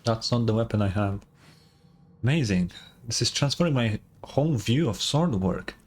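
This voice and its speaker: A man's voice: medium pitch, lively intonation, moderate pace, a little hiss or hum. A man doing casual live gameplay commentary as a streamer, talking in an informal, slangy, wry way. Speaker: game streamer.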